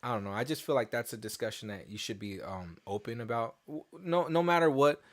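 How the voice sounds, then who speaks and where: A man's voice: mid-range voice, lively intonation, a little fast, dry recording. A young man co-hosting a podcast in a casual, slangy chat that swears freely. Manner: laid-back slangy talk, swears freely. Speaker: podcast co-host.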